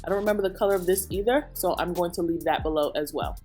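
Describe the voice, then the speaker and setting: A woman's voice: fairly low pitch, fast talker, breathy edge. Woman narrating a beauty tutorial. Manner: steady product walkthrough. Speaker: beauty vlogger. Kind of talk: scripted voice-over narration. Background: soft music.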